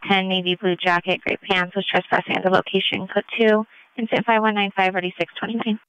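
A man's voice: sits high, little pitch movement, quick pace, pushed and bright, slight background noise. A man working as police dispatcher, reading clipped incident details over a dull radio channel.

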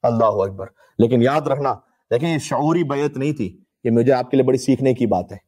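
A man with a mid-pitched voice delivers an impassioned religious sermon. His delivery is emphatic and declamatory, shaped like a mournful storyteller's. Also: brisk, a little mellow. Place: indoor room with draped religious banners, live stream.

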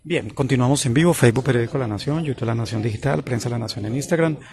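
A man's voice: medium pitch, quick pace, darkish tone. A man with a Colombian accent, hosting a live field report and putting questions outdoors at a press scrum. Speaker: reporter and live stream host.

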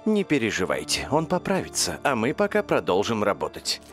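A woman's voice: a bass voice, wildly swooping pitch, fairly quick, faint music behind, full character voice. A woman voicing an earnest, confident young boy who leads a team in a cartoon dub. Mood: reassuring. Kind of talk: animated series dub.

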